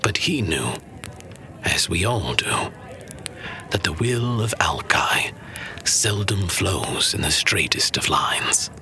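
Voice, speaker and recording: A man playing a knowing narrator of fantasy legends, grave, deliberate and dramatic, with a breathy voice. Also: low-pitched, big pitch swings, bright, forceful tone, exaggerated voice. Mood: knowing, solemn. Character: solemn old-world male storyteller of legends, knowing. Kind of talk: scripted fantasy storytelling.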